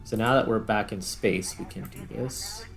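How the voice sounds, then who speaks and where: Low-voiced man casually commentating a game. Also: sing-song, gravelly, soft background music. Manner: thinking aloud in short, halting remarks. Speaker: gaming host.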